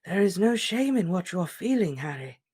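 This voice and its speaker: A reader voicing an old male headmaster wizard, speaking in a calm, measured, gentle way. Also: medium pitch, breathy edge, voice pushed up. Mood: serene. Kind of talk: audiobook character reading.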